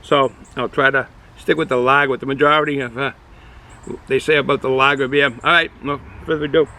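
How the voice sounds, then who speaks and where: A man's voice: mid-range voice, fairly even intonation, bright, forceful tone. Boston man rambling through a beer review. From Boston area, United States. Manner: rambling, halting, many fillers and restarts. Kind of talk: casual unscripted review. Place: outdoors, sun in his eyes.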